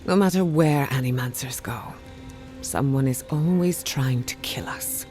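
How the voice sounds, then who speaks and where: An actress playing an earnest, burdened but resolute woman scholar in a fantasy video game, speaking in a measured, reflective way. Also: very deep voice, dark tone, faint music behind. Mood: bitter, burdened. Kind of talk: dramatic video game dialogue.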